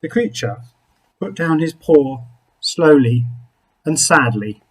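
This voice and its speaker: A man, a rabbi, reading a picture book aloud to young children expressively, with dramatic pauses and small asides. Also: a little high, expressive, husky, low-quality recording.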